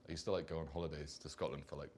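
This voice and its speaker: A man's voice: very deep voice, fairly even intonation, racing, a little mellow, a little hiss or hum. A man raised in London, a band's singer, answering fan questions in rambling, self-correcting talk on a concert stage. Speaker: band singer. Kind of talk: live Q&A chat.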